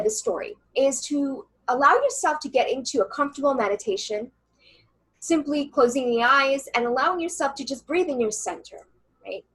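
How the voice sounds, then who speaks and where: A woman channeler and coach with a loud voice, earnestly talking a listener through a writing meditation in a casual interview over a video call. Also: sits high, breathy edge, poor audio. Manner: earnest and reassuring, many fillers.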